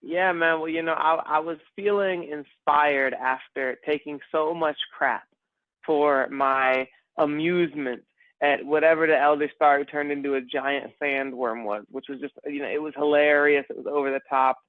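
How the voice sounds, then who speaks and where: A man talks in loose, rambling bursts on a podcast, tossing in bro and man as he goes. His voice sits a bit high and sounds dry and close, squeezed into a narrow phone-like band. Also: normal speed, no room sound. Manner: rambling, animated, says bro and man a lot. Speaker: podcast co-host. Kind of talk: casual podcast chat.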